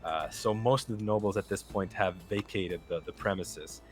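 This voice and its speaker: A man with a deep, low voice narrates a tabletop game as game master. He talks in a relaxed way, with plenty of fillers. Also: moderate pace, faint music behind.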